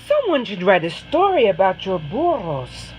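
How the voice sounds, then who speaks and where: A woman's voice: mid-range voice, huge pitch swings, normal speed, darkish tone, a little hiss or hum, rough recording. A woman voicing an eager, curious little village girl in a storybook. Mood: admiring.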